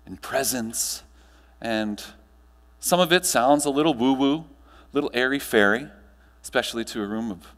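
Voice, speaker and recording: American man speaking in a reverberant hall. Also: medium pitch. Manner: projected and emphatic, with deliberate pauses and rhetorical questions. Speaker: keynote speaker and trainer. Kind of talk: motivational conference talk. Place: large hotel ballroom stage. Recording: long hall reverb from ballroom PA.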